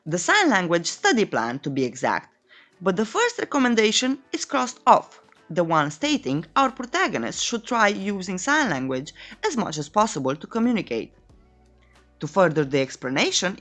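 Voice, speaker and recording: Woman narrating with lively, swooping pitch. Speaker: narrator of a comic recap channel. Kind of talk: scripted story recap narration.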